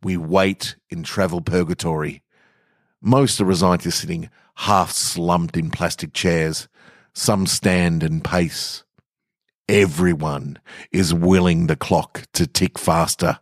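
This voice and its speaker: A man hosting a podcast and reading literary short stories in a relaxed style. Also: sits low, slow, no echo, studio quality. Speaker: podcast host, author and reader. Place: garage, indoors.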